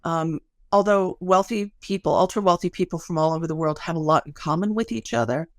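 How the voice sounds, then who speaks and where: Female academic guest, creaky voice in a dry recording, explaining conversationally with everyday examples. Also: on the low side, fairly quick, no room sound. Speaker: interview guest, academic researcher. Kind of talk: conversational interview, explaining research.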